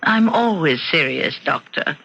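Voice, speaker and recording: An actress playing a bored, cunning wife in her thirties in an old-time radio drama, with cool, theatrical, deliberate delivery. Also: low voice, animated, vocal fry, sharp tone, slightly rough, heavily acted. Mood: cool, deadly serious. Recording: dull, noisy vintage radio recording.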